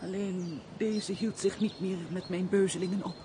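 An actress playing a remorseful young runaway wife in a radio drama, speaking in a solemn, tearful, melodramatic way. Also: female voice, on the low side, steady tone, normal speed, dark tone, a little hiss or hum, lightly acted. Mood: sorrowful, bitter. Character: remorseful young runaway wife, grief-stricken and self-punishing. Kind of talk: theatrical radio drama acting.

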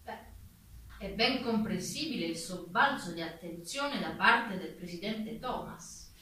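Bright-voiced woman narrating, very echoey. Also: mid-range voice, lively intonation, a little slow. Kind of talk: scripted theatrical narration. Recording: very echoey, distant, faint noise.

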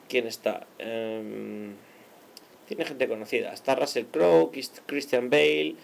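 A man's voice: mid-range voice, vocal fry, slight background noise. A man hosting an amateur radio show, chatting casually about films and tech news with plenty of hesitant fillers. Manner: hesitant, many fillers and restarts. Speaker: radio host.

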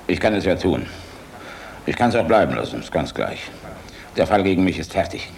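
An actor playing a weary, bitter German general in a radio play, speaking in an emphatic, rhetorical, theatrical way. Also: male voice, sits low, big pitch swings, moderate pace, background noise, heavily acted. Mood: gruff, resigned. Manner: emphatic, rhetorical, swinging from gruff to impassioned. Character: weary, bitter German air force general, defiant and tormented. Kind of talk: theatrical radio drama acting.

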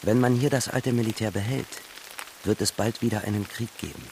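Dry, probing male revolutionary, radio play. Fairly low, terse, measured, faint rain-like noise behind. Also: gravelly, a little mellow. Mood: critical, measured. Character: reserved, probing Russian revolutionary man, dryly questioning. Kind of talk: radio drama acting.

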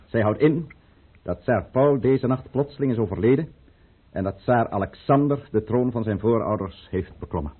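Grave commanding count in an old radio play, big swings in pitch, muffled narrow sound. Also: male voice, animated, normal speed, husky. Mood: solemn, resigned. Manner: grave, commanding, theatrical delivery. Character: proud, resolute count, a conspirator serving Russia. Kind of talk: radio drama acting.

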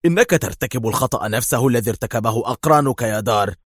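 Man performing sarcastic spy hero, storybook thriller, supremely confident, taunting, decisive, medium pitch. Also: quick pace, husky, dry recording, studio quality, lightly acted. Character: mocking, supremely confident male Egyptian secret agent hero.

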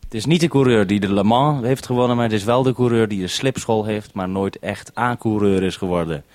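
Lowish-voiced man, businesslike. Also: fairly quick, noisy background. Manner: businesslike, organising. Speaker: radio programme maker. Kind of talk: practical two-way radio exchange.

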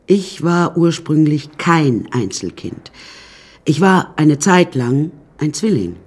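A woman's voice: low-pitched, very animated, takes it slowly, a little mellow, exaggerated voice. An actress playing a vain, self-dramatizing woman artist who pompously narrates her life to listeners in a radio play. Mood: self-admiring.

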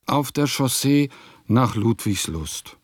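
A man's voice: mid-range voice, expressive, slow pace, dry recording, studio quality. An actor playing an eccentric, self-important nineteenth-century composer musing theatrically over his diary in a radio play.

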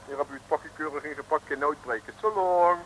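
A crafty, smooth-talking shopkeeper who fleeces customers with a smile, as an actor puts him on. His voice sits high and bright, with a faint hiss behind it. Broken, mock-foreign Dutch in 1950s radio style. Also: a little fast, lightly acted, poor audio. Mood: wheedling. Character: sly wheedling male Chinese shopkeeper. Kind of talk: 1950s radio comedy acting.

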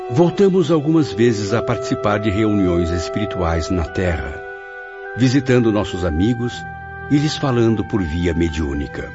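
Deep male voice, Brazilian accent, remorseful spirit, solemn first-person narration, radio drama, dull sound, prominent music. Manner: solemn, reflective. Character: remorseful, moved male spirit recounting his memoirs. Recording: dull lo-fi audio, music behind.

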